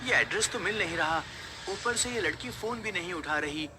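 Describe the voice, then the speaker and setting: A man playing an earnest, easily scared young bachelor in a cartoon horror story, plaintive and excitable. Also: high-pitched, normal speed, noisy background. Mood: worried. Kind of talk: acted dialogue in a Hindi horror cartoon story.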